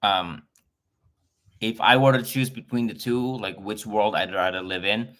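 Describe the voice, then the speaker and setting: Chatty man, lowish voice. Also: steady tone, a little slow, fairly bright tone. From Philippines. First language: Filipino. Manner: chatty and effusive, greeting viewers. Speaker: livestream host. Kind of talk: casual livestream chat with viewers. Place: home in Los Angeles.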